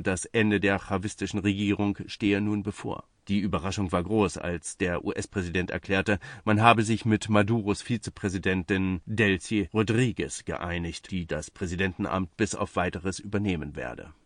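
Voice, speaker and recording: Guy reading political journalism out loud, scripted, kinda low voice, dry recording. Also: normal speed, few pauses, dry recording. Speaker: reader of a translated news article. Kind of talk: scripted narration, read-aloud political journalism.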